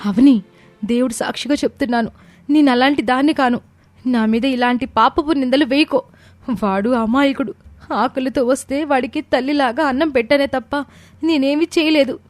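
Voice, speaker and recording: A woman voicing a gentle, wronged motherly woman in a family story, pleading softly and protectively. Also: on the high side, normal speed, soft background music, close to an everyday voice. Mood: hurt, pleading. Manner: soft, imploring, soothing. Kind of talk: dramatized Telugu family story acting.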